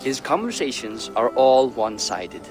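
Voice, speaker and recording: Man playing a brash, animated young prince. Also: mid-range voice, normal speed, over music, natural voice. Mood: candid, wistful. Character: Siegfried, brash, scornful young Viking prince in Dublin. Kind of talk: video game dramatic dialogue.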